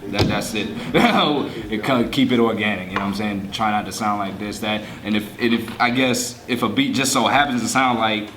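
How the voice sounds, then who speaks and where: A man's voice: lowish voice, moderate pace, hardly any pauses, a little hiss or hum. A young man from the Virgin Islands, raised in Georgia, a rapper speaking bluntly and laid-back, swearing freely. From born in St. Thomas, raised in Decatur. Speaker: rapper in a group. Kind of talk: casual group interview. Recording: loud crowd chatter.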